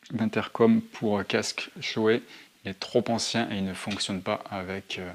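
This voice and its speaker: A man narrating a casual hands-on tutorial to his viewers, thinking aloud as he handles things. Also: on the low side. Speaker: motorcycle vlogger presenting gear. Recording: clear background noise, handling clicks.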